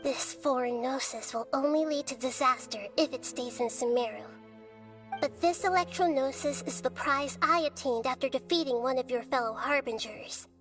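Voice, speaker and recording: A wise, childlike goddess for a video game in a high voice. She is composed and shrewd, choosing her words deliberately and with quiet firmness. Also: soft background music. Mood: firm, challenging.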